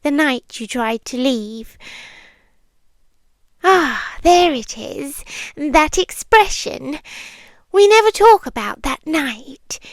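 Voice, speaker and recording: A British woman voicing a possessive monster girlfriend in a slow ASMR roleplay, hushed and deliberate, slipping into whispers. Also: sits high, creaky, no room sound, heavily acted. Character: possessive yandere monster girlfriend, confessing her obsession.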